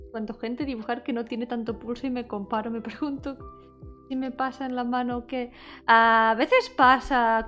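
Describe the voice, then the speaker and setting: A woman chatting casually on an art livestream, thinking aloud in a playful, self-deprecating way. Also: on the high side. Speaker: VTuber art streamer and game developer. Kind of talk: casual livestream chat while drawing, switching between English and Spanish. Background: background music.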